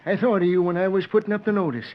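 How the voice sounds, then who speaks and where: An actor playing a folksy, kindly small-town storekeeper with rustic phrasing in a 1940s radio western. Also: male voice, sits high, very fast, lightly acted. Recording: dull 1940s radio recording.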